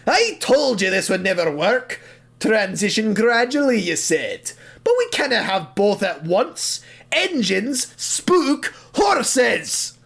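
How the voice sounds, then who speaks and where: Guy doing a stern railway boss, gravelly, slow and deliberate, swoops up when exasperated, audio drama. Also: high voice, very animated, a bit bright, exaggerated voice. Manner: exasperated, blustering. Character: stern, scowling railway boss, sceptical and scolding.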